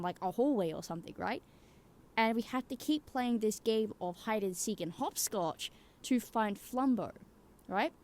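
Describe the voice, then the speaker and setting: A woman giving casual, rambling opinion commentary in an emphatic way, swearing casually and checking in with the listener. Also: medium pitch. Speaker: YouTuber giving game opinions.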